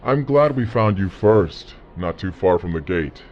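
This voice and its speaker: An actor playing a calm, commanding, threatening gang leader interrogating someone in an audio drama, speaking slowly and deliberately. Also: male voice, deep voice, lo-fi sound, slight background noise. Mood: smug threat.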